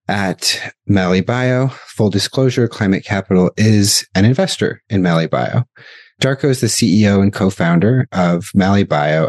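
A man hosting an interview podcast, reading a scripted introduction and then asking questions in a plain, steady way. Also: sits low, no echo. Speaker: podcast host and investor.

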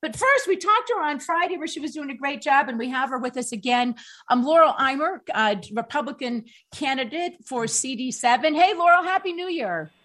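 A woman's voice: high voice, lively intonation, a little fast, fairly bright tone. A woman co-hosting a casual talk radio show, chatty and laughing often, with many ums. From Colorado.

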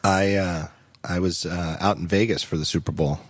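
A slightly hoarse man hosting a podcast in casual chat, rambling with frequent restarts and self-corrections. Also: low voice, steady tone, moderate pace, no echo. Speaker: podcast host.

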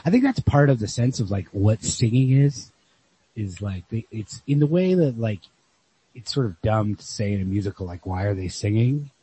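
Guy with a really husky, muted voice, podcast book chat, rambly and thinking out loud. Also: on the low side, lively intonation, normal speed. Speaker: podcast co-host. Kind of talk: casual literary discussion. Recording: lo-fi podcast audio.

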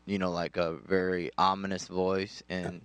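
A man chatting casually as a discussion participant, in a halting, loosely worded way. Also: deep voice, flat delivery, fairly bright tone, clean tone, no room sound. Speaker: participant answering the host. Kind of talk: casual chat.

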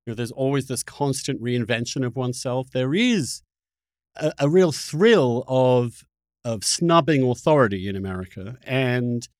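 A man's voice: mid-range voice, lively intonation, unhurried, no echo, studio quality. A middle-aged man from Britain, hosting a conversational political discussion in a digressive way, restarting mid-thought. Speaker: podcast host.

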